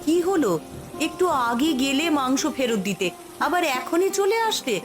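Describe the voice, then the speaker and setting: A woman's voice: high-pitched, sing-song, moderate pace, music in the background. A woman voicing an anxious, fretful village wife and mother in a cartoon, full of questions. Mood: alarmed. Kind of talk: cartoon voice acting.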